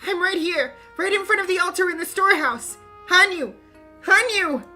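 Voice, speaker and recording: A woman voicing a frantic young shrine girl who calls out loudly and urgently. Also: high-pitched, lively intonation, moderate pace, faint music behind. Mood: frantic, pleading. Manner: loud, urgent calling. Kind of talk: story reading with voiced characters.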